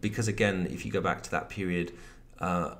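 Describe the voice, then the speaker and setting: A man's voice: sits low, fairly even intonation, a little fast, a bit bright. A man with a West Country accent, a game designer explaining mechanics and history in casual chat while a game is played, often restarting his sentences. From partly Devon, England. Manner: explanatory, chatty, with frequent restarts. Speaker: creative director and game designer guest.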